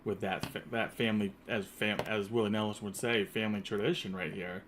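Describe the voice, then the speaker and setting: A man's voice: on the low side, steady tone, brisk, background noise. A man hosting a podcast interview, talking casually and rambling with many fillers and restarts. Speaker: podcast host. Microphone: earpiece headset.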